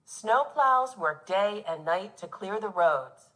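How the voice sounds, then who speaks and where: A woman teacher reading a nonfiction children's book aloud in an expressive, instructive way for young pupils. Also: medium pitch, slow pace.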